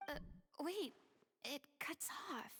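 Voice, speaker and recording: An actress playing an earnest, thoughtful young woman investigator in a fantasy video game, speaking in a measured, dramatic way. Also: high voice, lively intonation, fairly bright tone, soft background music, heavily acted. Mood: grave.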